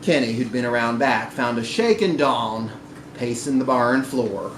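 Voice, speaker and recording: A man reading literary fiction aloud to a live audience in a bar, with an expressive, theatrical delivery. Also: medium pitch, a little slow. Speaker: story reader at a live literary event. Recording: echoey live room recording with background noise.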